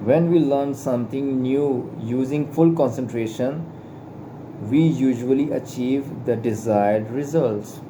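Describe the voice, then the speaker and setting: Guy reading a spiritual book out loud in english, not his first language, real slow, trips over words, ac humming behind. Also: mid-range voice. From Pakistan. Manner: halting, stumbles and repeats words. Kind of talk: slow careful reading aloud. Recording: steady air conditioner hum behind. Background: air conditioning hum.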